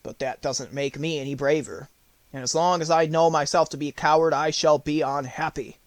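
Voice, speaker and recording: A man's voice: a little high, steady tone, normal speed, dry recording, lightly acted. A great beast of a storybook lion, acted by a man, who is thoroughly cowardly. He speaks mournfully, confessing his woes with self-pity. The sound is dry. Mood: glum. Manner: sorrowful, confessing.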